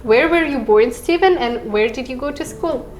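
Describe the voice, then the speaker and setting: A woman's voice: high-pitched, animated, normal speed, a little hiss or hum. A woman interviewing a political candidate, asking friendly questions in an encouraging way, with slightly uneven phrasing.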